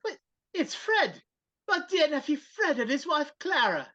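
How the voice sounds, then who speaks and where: A woman playing a grumpy but softening old male miser in a live dramatic reading over a video call. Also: high-pitched, big pitch swings, measured pace, full character voice. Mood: softening, earnest. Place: remote video call at home. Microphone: video call microphone. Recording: slightly dull video-call audio.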